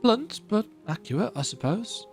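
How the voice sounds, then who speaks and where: A woman's voice: mid-range voice, very animated, moderate pace, soft background music, pitched above the performer's own voice. A woman playing a weary young soldier man. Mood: weary earnest. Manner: earnest, reflective, softly resigned. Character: weary young male imperial soldier, earnest and tired.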